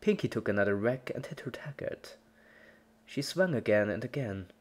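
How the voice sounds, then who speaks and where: Very low-voiced woman reading dramatically. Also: lively intonation, normal speed. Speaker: narrator. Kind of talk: dramatic scripted horror story reading.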